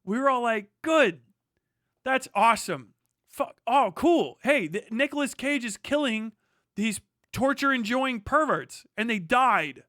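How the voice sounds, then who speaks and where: A man's voice: extremely high pitch, expressive, a little slow, sharp tone, no echo, clean studio sound. A man doing live reaction commentary as a streamer, blunt, outspoken and free with swearing. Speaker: streamer reacting to a news video. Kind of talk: live reaction commentary with read-out passages and rants.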